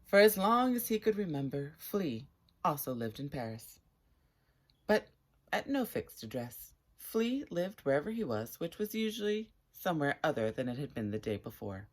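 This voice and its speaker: A woman with a husky voice reading a children's story aloud and chatting to viewers, with expressive, deliberate phrasing. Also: sits low.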